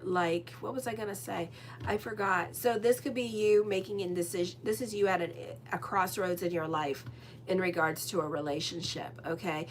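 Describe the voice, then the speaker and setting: A woman's voice: lowish voice, fairly bright tone, a little hiss or hum. A woman doing a casual tarot horoscope reading as an astrologer, rambling and chatty with lots of fillers and a direct manner. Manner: frank and direct with listeners.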